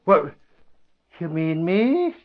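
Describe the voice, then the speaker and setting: Muffled vintage radio role: excitable, blustering Irish-American newsman, folksy, fairly high voice. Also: drawn-out words, full character voice. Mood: eager, surprised. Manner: blustering, colorful folksy exclamations. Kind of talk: old-time radio drama acting. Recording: muffled old radio drama recording.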